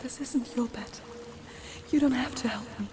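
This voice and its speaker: An actress playing a tormented young warrior woman in a game, hushed, breathy and halting. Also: a little high, close to an everyday voice. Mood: anguished. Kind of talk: dramatic game acting, intimate and halting. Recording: clear background ambience noise.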